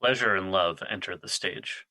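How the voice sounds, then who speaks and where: A man's voice: deep voice, normal speed, bright, forceful tone. A man giving scripted narration, reading stage directions as a narrator.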